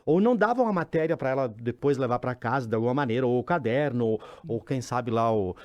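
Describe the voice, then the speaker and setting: A man, co-hosting a talk show and telling stories in a lively way. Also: medium pitch, fast talker, no echo, dull audio. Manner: animated storyteller, acts out quotes. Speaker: co-host. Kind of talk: casual studio talk.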